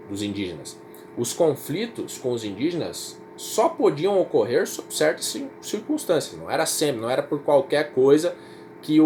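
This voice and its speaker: Casual Brazilian history teacher. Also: male voice, a little high, a little mellow, slight background noise. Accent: Brazilian Portuguese. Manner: casual, repeats himself, checks in with "certo?" and "tá?". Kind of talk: informal recorded class lecture.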